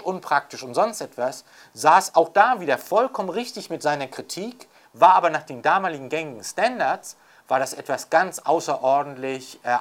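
An older man talking off the cuff as an interviewed author and scholar, reflective and emphatic, often adding rhetorical tags. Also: a little high. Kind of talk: unscripted intellectual interview talk.

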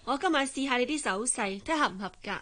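A haughty, high-voiced spoiled rich woman. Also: lively intonation, fast, fairly bright tone. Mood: sneering. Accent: Hong Kong. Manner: sneering, imperious, coquettish. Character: haughty, spiteful young rich woman, jealous girlfriend. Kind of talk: Cantonese radio drama acting.

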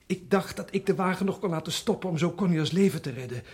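An actor playing an anguished, weary condemned prisoner in a radio drama, with a husky, breathy voice. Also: male voice, high-pitched, quick pace, a little mellow. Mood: agitated, insistent. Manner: subdued, brooding, weary. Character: anguished, haunted condemned male prisoner protesting innocence.

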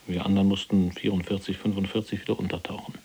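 An actor playing an imprisoned former resistance fighter in a radio play, measured, matter-of-fact and quietly bitter. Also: male voice, deep voice, flat delivery, slight background noise. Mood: sober, bitter. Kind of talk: German radio play acting.

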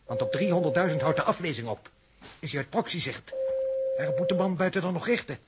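A precise male spaceship navigator played by a man, matter-of-fact yet urgent, with a highish and varied voice. The old radio drama recording is very noisy. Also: animated, brisk, natural voice. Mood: urgent, factual. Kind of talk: 1960s Dutch radio drama acting.

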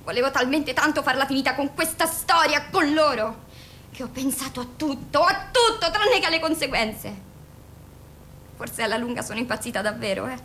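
A woman's voice: sits high, animated, normal speed, pushed and bright, slight background noise. A woman acting in an Italian radio drama as a bitter, volatile young female patient, defiant and sarcastic, who swears and confronts. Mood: bitter, unsettled. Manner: sarcastic, confrontational, foul-mouthed.

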